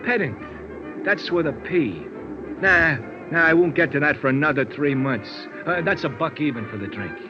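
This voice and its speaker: Music plays behind a dull old radio recording of a chatty American hipster barman, played by a male actor. His voice is fairly high and swings widely as he rolls out streetwise slang and puffed-up vocabulary. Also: lively intonation, moderate pace, natural voice. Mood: eager, chummy. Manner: breezy slangy patter with pompous big words. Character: chatty, self-improving hipster male bartender, breezy and streetwise. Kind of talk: 1950s American radio character acting.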